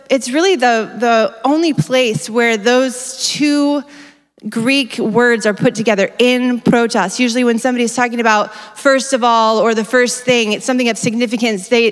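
Energetic woman preaching in a reverberant hall. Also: a little high. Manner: energetic, conversational, self-correcting. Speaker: conference speaker. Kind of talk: sermon. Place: large church hall. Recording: long reverb of a church hall.

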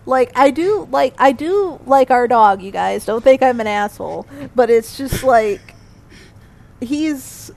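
Woman, fairly high voice, rambling storyteller, laughs a lot, casual chat. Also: lively intonation, takes it slowly, gravelly, darkish tone. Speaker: guest co-host.

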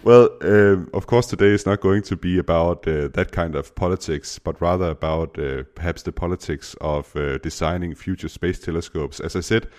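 A man's voice: deep voice, normal speed, hardly any pauses, darkish tone. A Danish man hosting an interview podcast, speaking English with many hesitant fillers. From Denmark. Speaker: podcast host.

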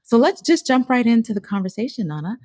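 A woman's voice: on the high side, sing-song, quick pace, warm tone. A woman hosting a podcast, reading an upbeat introduction and then chatting loosely. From Ghana. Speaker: podcast host.

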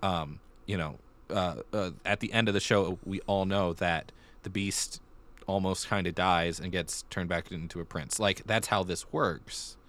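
A man's voice: low voice, steady tone, a little slow, a bit bright. A man co-hosting a podcast in casual conversation, building his points through repeated phrasing. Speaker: podcast co-host.

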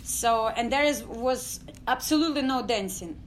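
A middle-aged woman from Ukraine with a Ukrainian accent, telling her story as an interview guest in halting English full of fillers. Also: on the high side, a little hiss or hum. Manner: halting non-native English with many fillers. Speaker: guest, dance company founder.

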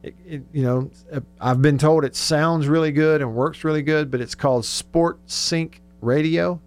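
A man's voice: fairly high pitch, fairly even intonation, measured pace. Man hosting sports talk radio. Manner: conversational, thinking aloud. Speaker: sports radio show host.

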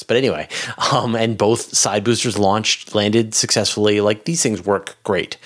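A man with a fairly low voice talks as an expert guest on a podcast. He explains in a casual, informal style and leans on key words for emphasis. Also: a bit bright.

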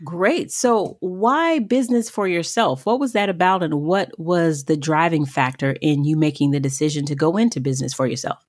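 A woman hosting a podcast, introducing the show and interviewing, with a husky voice. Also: deep voice, normal speed, few pauses. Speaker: podcast host.

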